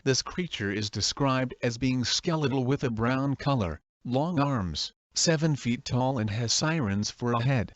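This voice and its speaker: A synthetic male voice reading a scripted emergency broadcast announcement in a formal, flat, impersonal way. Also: medium pitch, dry recording. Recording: dull, lo-fi broadcast audio.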